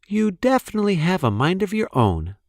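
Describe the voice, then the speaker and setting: A man's voice: high-pitched, sing-song, brisk, creaky, pitched above the performer's own voice, heavily acted. A man voicing a fond, observant mouse mother from a children's storybook. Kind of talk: children's storybook character reading.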